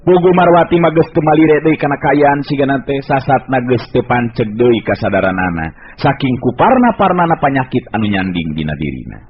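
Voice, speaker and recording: Sundanese male storyteller on tinny old radio. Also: on the low side. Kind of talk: dramatic Sundanese folk tale narration. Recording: narrow, hissy old radio recording.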